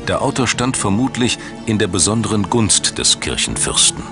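A man with a very low-pitched voice narrates a documentary from a script. Music plays clearly behind his voice. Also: big pitch swings, moderate pace.

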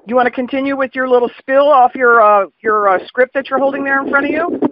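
Caller with a very high-pitched voice, casual and blunt, on dull phone audio. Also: male voice, steady tone, fast. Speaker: call recipient. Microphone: telephone, dry close pickup. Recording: dull, lo-fi phone call recording.

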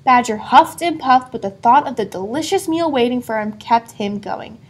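A woman reading a children's story aloud expressively, pausing to put questions to a young listener. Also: fairly high pitch, lively intonation, breathy edge. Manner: asks a child engaging questions. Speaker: storybook reader.

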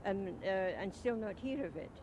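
A woman's voice: mid-range voice, expressive, normal speed. An older woman giving a casual answer in an outdoor street interview into a handheld microphone. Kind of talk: casual vox pop answer. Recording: noisy outdoor street tape, traffic.

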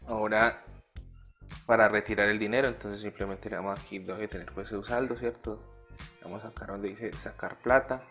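A man's voice: on the low side, fairly even intonation, normal speed, a bit bright, faint music behind. A Colombian man narrating a step-by-step tutorial as the host, in Colombian Spanish.

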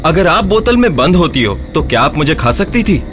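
A man voicing a brave, clever young village husband, polite and persuasive, in an animated story. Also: on the high side, brisk, music in the background.

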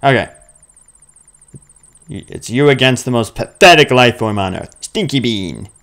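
A man in his twenties doing casual, excitable gameplay commentary full of exclamations and mild crude language. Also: mid-range voice, unhurried. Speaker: gaming video host.

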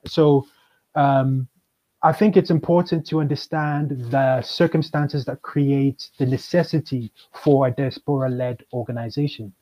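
A man, a guest speaking in a measured, explanatory way on a video call. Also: mid-range voice, steady tone, mellow. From Kenya. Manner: measured, explanatory, with frequent ums. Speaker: guest, chamber of commerce manager. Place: indoors, plain room. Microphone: webcam on video call. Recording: dull lo-fi video call audio.

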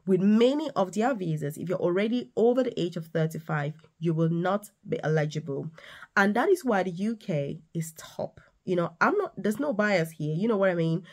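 A woman in her thirties, a nurse and career coach, talking straight to the viewer in an animated, emphatic casual vlog from a small room. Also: fairly low pitch. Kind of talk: casual direct-to-camera vlog. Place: small indoor room with decorated wall.

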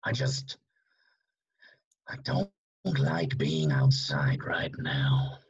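A man playing a meek, whiny, apologetic hired killer in a comic play, husky and halting, over a dull video call. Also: very deep voice, sing-song, full character voice. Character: whiny, germ-fearing male hired killer, meek underling.

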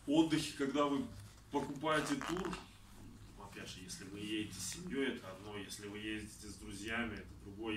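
A man in his thirties from Kazakhstan, talking about travel and answering questions in an animated, gesturing way in a small indoor room. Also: fairly low pitch. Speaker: traveler giving a talk. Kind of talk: conversational talk answering audience questions. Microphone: far camera. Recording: roomy, distant, faint background noise.

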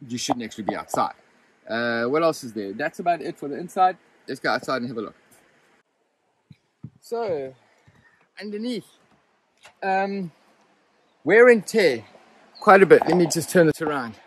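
A chatty man in his forties talks to a phone held at arm's length, his voice pitched a little higher than most men's. He rambles along in a loose, easygoing review, full of ums and self-corrections. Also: expressive, normal speed, long pauses, husky, poor audio. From Southern Africa. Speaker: vlogger reviewing his rooftop tent. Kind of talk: casual hands-on gear review. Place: inside a rooftop tent, then outdoors beside a vehicle in the bush. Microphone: handheld phone camera at arm's length.

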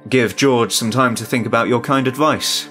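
A man's voice: medium pitch, fairly even intonation, moderate pace, fairly bright tone, soft background music, voice pushed up, natural voice. A man voicing a calculating killer father who feigns casual, reassuring helpfulness in a horror story. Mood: falsely friendly.

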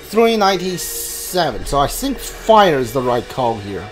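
Slow-talking man muttering through a video game. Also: a little high, very animated. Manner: muttering his moves aloud, thinking as he goes. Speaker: gamer playing through a role-playing game. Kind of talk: casual gameplay commentary. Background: game music.

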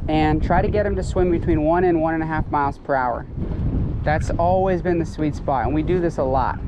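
A man's voice: a little high, a little fast, lo-fi sound. Wind and water noise surround a young American man on an open boat. He talks in a casual, buddy-like way as a fishing vlogger, recorded outdoors on a body-worn action camera. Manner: informal, addresses viewers as buddies. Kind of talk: casual vlog chat while fishing.

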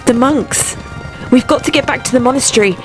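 A woman's voice: sits high, a little fast, faint music behind, lightly acted, rough recording. A woman voicing a determined young warrior princess, earnest and resolute, in a fan dub. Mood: urgent.

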